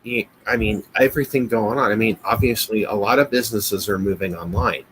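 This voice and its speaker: A man's voice: on the low side, a little fast, fairly bright tone, slight background noise, low-quality recording. An American man co-hosting a show in casual chat, talking in a hesitant, rambling, conversational way. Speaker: co-host of a business show.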